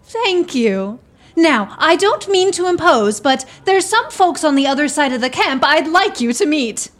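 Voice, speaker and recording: A woman's voice: high-pitched, lively intonation, a little slow. A woman playing an eager, imperious self-styled duchess in a radio play, mixing mock-regal formality with folksy talk. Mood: grand.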